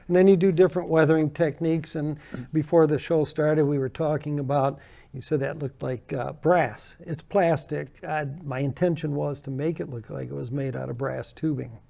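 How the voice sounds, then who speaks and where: Gravelly older man telling a story. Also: fairly high pitch, steady tone, normal speed, dark tone. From American. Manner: chatty anecdotal storyteller, laughs often. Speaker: guest model builder. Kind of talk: casual interview storytelling.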